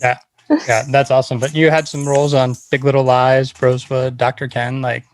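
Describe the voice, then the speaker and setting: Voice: mid-range voice, level tone, brisk, no echo. A podcast host of unclear sex chatting casually, with an informal, enthusiastic way of talking. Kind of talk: casual interview chat.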